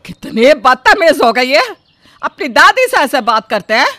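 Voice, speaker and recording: A woman's voice: high voice, big pitch swings, a little slow, sharp tone, full character voice. Woman playing a bossy scolding mother-in-law. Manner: scolding, commanding. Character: bossy older mother-in-law, sharp-tongued grandmother. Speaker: voice actor. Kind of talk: Hindi storybook drama acting.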